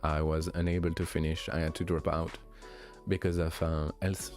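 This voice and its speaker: A young man with a light French accent, a streamer chatting casually with viewers, relaxed and hesitant with many fillers and restarts. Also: extremely low pitch, normal speed, soft background music. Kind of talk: casual chat answering viewers.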